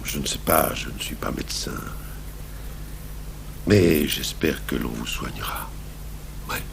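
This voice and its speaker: An actor in a French radio drama playing a grave, patient police commissioner who speaks in a sober, measured way. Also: male voice, extremely low pitch, gravelly, full character voice. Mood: grave, compassionate. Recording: faintly noisy, humming 1980s radio tape.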